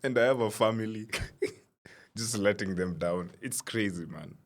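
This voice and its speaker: A young Kenyan man co-hosting a comedy podcast in casual chat, easily amused and poking fun at himself. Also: lowish voice. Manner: self-deprecating, quick to laugh. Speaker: podcast co-host.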